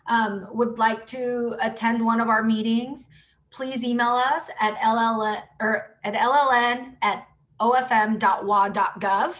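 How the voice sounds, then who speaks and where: A woman's voice: a little high, fairly bright tone. A woman speaking as a panel moderator, giving closing remarks at an online event.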